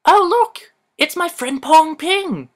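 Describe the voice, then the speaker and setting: A woman's voice: very high voice, expressive, slow pace, full character voice. A woman voicing a cheeky, exasperated young male bear in an amateur cartoon parody, sarcastic and exclamatory.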